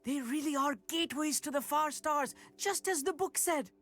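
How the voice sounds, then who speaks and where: A proud young stargazer student. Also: male voice, extremely high pitch, moderate pace. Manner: earnest, slightly pompous. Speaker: game character. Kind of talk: video game voice acting. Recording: game audio with faint music.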